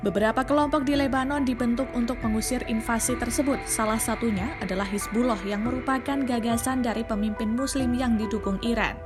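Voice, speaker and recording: High-voiced Indonesian woman narrating news. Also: fairly even intonation. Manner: formal, measured reading. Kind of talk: scripted news explainer narration. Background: background music.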